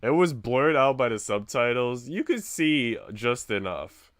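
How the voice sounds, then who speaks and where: A man's voice: mid-range voice, sing-song, measured pace, dry recording, studio quality. A man streaming a visual novel, reading its narration aloud and chatting with viewers.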